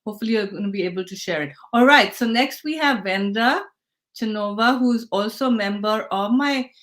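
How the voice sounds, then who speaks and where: A woman's voice: mid-range voice, fairly quick, fairly bright tone. A woman hosting a casual livestream over a video call, upbeat and encouraging, often restarting her sentences. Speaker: host of a livestreamed hackathon session.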